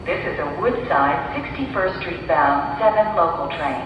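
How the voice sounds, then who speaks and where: Through loud train noise comes a lowish-pitched female announcer, echoing over the car speakers. She delivers scripted transit announcements with measured, formulaic phrasing and a lively rise and fall. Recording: distant, echoey, muffled, loud train noise. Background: loud subway train noise.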